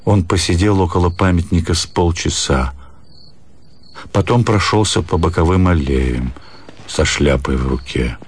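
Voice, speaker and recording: A man narrating a literary radio play in a measured, expressive, dramatic storytelling manner. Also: deep voice, slight background noise.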